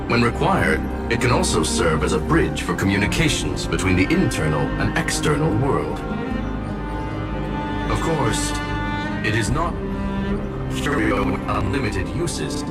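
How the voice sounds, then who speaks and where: Deep-voiced, formal robot gentleman scholar. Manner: formal, measured, precise. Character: calm, courteous, analytical gentleman robot scholar. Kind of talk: scripted game story dialogue. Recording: loud music under voice.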